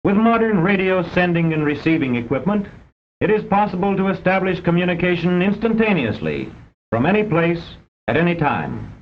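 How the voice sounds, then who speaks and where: A man with an American accent delivers formal scripted narration for a vintage instructional film, measured and didactic with precise enunciation. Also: sits high, very animated, slight background noise. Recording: dull, band-limited old film soundtrack.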